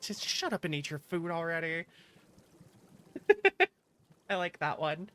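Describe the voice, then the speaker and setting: Slow-paced, lower-pitched woman streamer. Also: very animated, fairly bright tone. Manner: playful, teasing, reacts animatedly to chat. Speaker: streamer, virtual YouTuber. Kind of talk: casual livestream chat with viewers. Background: background music.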